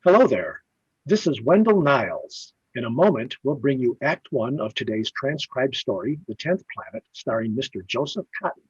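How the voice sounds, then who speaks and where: A man's voice: mid-range voice, sing-song, normal speed, breathy edge. A man announcing in 1950s radio style, emphatic and polished like a sponsor's salesman. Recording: muffled, roomy old-radio style sound.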